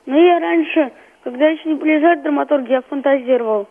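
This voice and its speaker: A man's voice: very high voice, fast. A thirteen-year-old boy giving casual, hesitant answers as a guest in an interview. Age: 13 years old. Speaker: young playwright guest on radio. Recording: muffled narrow-band phone call.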